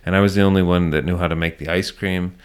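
A man telling his story as a business owner in a casual interview, often halting and repeating words as he goes. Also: sits low, fairly even intonation, clear, resonant tone. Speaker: ice cream shop owner, interview guest.

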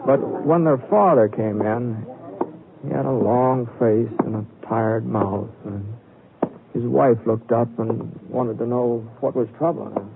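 Homespun storyteller, tinny old radio. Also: male voice, mid-range voice, unhurried, gravelly. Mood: folksy. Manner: homespun, conversational. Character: folksy Western storyteller narrating a Christmas tale. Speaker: narrator. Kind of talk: folksy storytelling in 1940s radio drama. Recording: hissy, tinny 1940s radio broadcast.

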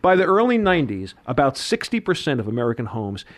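A man's voice: medium pitch, big pitch swings, quick pace. A man in his fifties co-hosting a radio talk show, reminiscing and laying out dates and figures in a lecturing way. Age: 50s.

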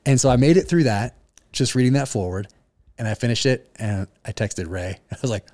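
A man who is an author, chatting casually in an interview and telling relaxed stories with plenty of fillers. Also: mid-range voice, a little fast, no room sound. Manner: relaxed storytelling with frequent fillers.

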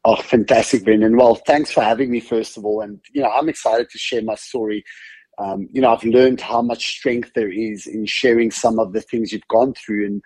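A man's voice: on the low side, steady tone, normal speed, a little mellow, breathy edge, no room sound. A man from South Africa whose first language is Afrikaans, speaking with a South African accent as a guest, telling his story in a loose, chatty way full of fillers. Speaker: podcast guest. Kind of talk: casual interview conversation.

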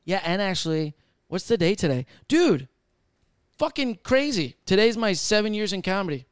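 Clear-voiced man in his thirties, dry sound. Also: sits high, big pitch swings, normal speed, no echo. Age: 30s. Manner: loose, swearing, rambling with fillers. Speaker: podcast host and stand-up comedian. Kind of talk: casual podcast banter.

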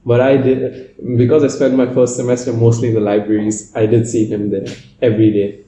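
A man's voice: medium pitch, flat delivery, a little fast, mellow, echoey room, a little hiss or hum, rough recording. A young man raised in Oman, co-hosting a podcast and telling an easygoing story. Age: young adult. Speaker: podcast co-host. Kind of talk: casual chat.

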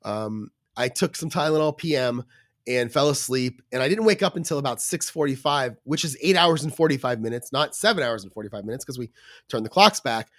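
A man's voice: mid-range voice, lively intonation, brisk, fairly bright tone, dry recording, clean studio sound. A man co-hosting a podcast in casual chat, his voice scratchy from a cold, rambling with fillers and restarts. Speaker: podcast co-host.

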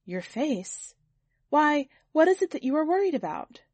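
A woman's voice: sits high, very animated, a little slow, a little mellow, no room sound, voice pushed up. A woman voicing a nervous veteran male painter in a story, deferential but frank. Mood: wary, frank.

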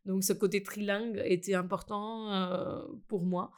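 A woman's voice: mid-range voice, flat delivery, measured pace, clear, resonant tone. A middle-aged woman from India, talking hesitantly with many fillers as an interviewee about founding a school. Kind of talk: conversational interview.

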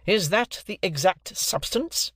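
A man voicing a bullying, sarcastic gentleman who cross-examines in a hectoring, authoritative manner for an audiobook. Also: fairly high pitch, moderate pace, dry recording, clean studio sound, lightly acted. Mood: bitter. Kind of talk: classic novel narration, character voice.

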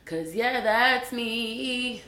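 A woman with a raspy voice co-hosting a podcast, chatting casually and laughing often. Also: a little high, very slow, pushed and bright, echoey room. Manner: laid-back, laughing often. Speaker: podcast co-host. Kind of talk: casual chat.

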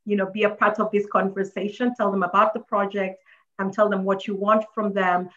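A woman's voice: medium pitch, level tone, moderate pace, fairly bright tone, highs cut off. A woman hosting an interview, talking emphatically with many fillers and repeated phrases as she urges listeners to help. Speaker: host. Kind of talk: interview host making an appeal.

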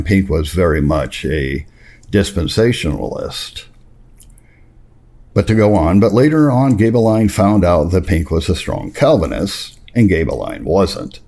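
A man with a very low, mellow voice reads a religious memoir aloud. His intonation swings widely as he tells it earnestly and emphatically. He pauses for effect and steps out of the text for brief explanatory asides. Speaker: reader and narrator of religious history.

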